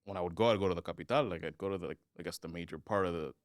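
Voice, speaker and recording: A young man, chatting as a podcast guest, rambling with many fillers and trailing off quietly at the ends of thoughts. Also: sits low, very fast, clear, resonant tone, no echo, clean studio sound. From United States. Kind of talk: casual podcast chat.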